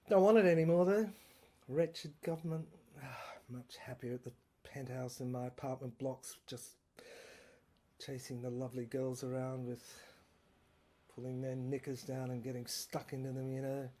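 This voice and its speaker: A woman's voice: a bass voice, a little hiss or hum. A woman playing a ranting, scheming, power-mad brother and corrupt prosecutor in an audio drama, with a theatrical, manic, rambling delivery. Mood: smug, muttering. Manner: theatrical, manic rambling, swinging from shouting to muttering.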